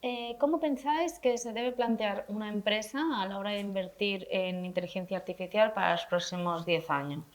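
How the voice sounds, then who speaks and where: A woman's voice: medium pitch, normal speed, few pauses. A woman hosting a panel discussion as moderator, putting questions to the speakers in an unscripted way.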